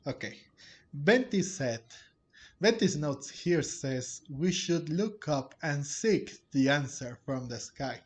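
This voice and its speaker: A man giving casual game commentary as he plays, rambling and halting as he talks. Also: fairly high pitch, animated. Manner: rambling, halting, frequent chuckles. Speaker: gaming streamer playing a story quest.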